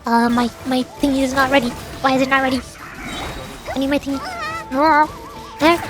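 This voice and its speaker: A woman livestreaming a game with casual, excitable, playful chatter. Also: high voice, fairly quick, over music. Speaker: livestreamer, VTuber. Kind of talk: casual gameplay stream chat.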